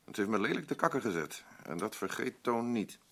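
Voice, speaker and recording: An actor playing a blunt, rambling working-class husband in a radio drama, boastful, self-pitying and defensive. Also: male voice, lowish voice, big pitch swings, brisk, a bit bright, heavily acted. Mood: bitter, defensive. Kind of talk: naturalistic radio drama dialogue.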